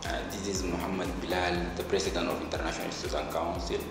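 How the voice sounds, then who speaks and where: Young male student president, low voice, halting formal delivery, reverberant hall. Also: flat delivery. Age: young adult. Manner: halting, pausing between short phrases. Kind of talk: formal introductory speech to camera. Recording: echoey hall, music behind.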